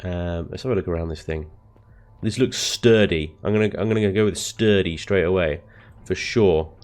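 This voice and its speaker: A man with a rough, hoarse voice from the flu, chatting casually as he shows off his base in a video game. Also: sits low, normal speed, darkish tone. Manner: chatty, self-deprecating, frequent ums and chuckles. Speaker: gaming video host. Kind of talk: casual gameplay commentary.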